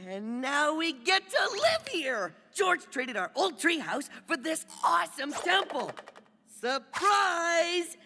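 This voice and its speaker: Cartoon jungle wild man: dim, friendly, very high and swooping, slow delivery. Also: big pitch swings, full character voice. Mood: cheerfully oblivious. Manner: naive, refers to himself in third person. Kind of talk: cartoon voice acting. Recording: loud music behind.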